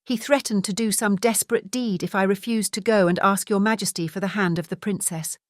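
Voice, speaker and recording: A woman's voice: medium pitch, fairly even intonation, fairly quick, no room sound, studio quality, close to an everyday voice. A woman voicing a humble, deferential mother who pleads earnestly in a fairy tale audiobook. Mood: pleading, apologetic.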